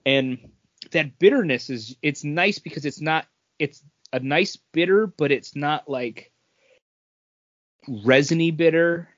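A man's voice: a little high, takes it slowly, long pauses, no room sound. Male podcast host, conversational and rambling, dry room, lo-fi muffled capture. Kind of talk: casual chat about craft beer.

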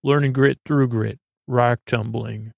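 Man doing a folksy huckster, imaginary friend, wheedling, streetwise, creaky voice, dry sound, comedy podcast skit. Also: medium pitch, steady tone, a little slow, a little mellow, dry recording. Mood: earnest selling. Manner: streetwise salesman, calls listener "kid".

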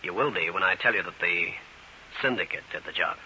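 An actor playing a wisecracking New York private detective in an old radio drama, terse, slangy and wry. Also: male voice, low-pitched, a little fast, sharp tone. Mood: businesslike. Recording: dull, hissy old radio recording.

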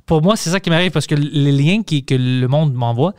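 Podcast host, man, smooth and resonant voice, dry close mic, rambling restarts. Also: on the high side, normal speed, a little mellow, dry recording. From Montreal, Quebec. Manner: loose and chatty, frequent restarts and English slips. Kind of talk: casual conversational chat.

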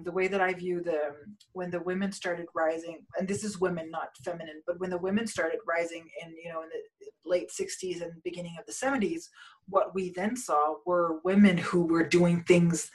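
A woman from Denmark in a casual conversation about spirituality, rambling with many restarts and fillers and often trailing off quietly. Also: lowish voice, fairly even intonation, normal speed, low-quality recording.

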